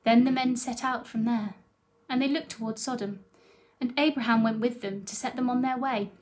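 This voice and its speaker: A woman with a fairly high-pitched voice reads scripture aloud. She delivers it as a scripted narrative reading, in the role of a church reader. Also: steady tone, normal speed, darkish tone.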